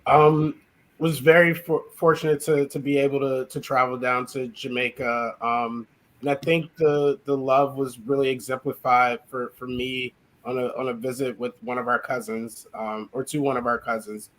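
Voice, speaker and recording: A man from Chicago speaks as an interview guest, pausing often and restarting his sentences. His voice sits at an ordinary mid pitch. He sounds thoughtful and unhurried. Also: level tone, a bit bright, low-quality recording. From Chicago, USA. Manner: hesitant, many restarts and ums. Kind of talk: casual interview reflection.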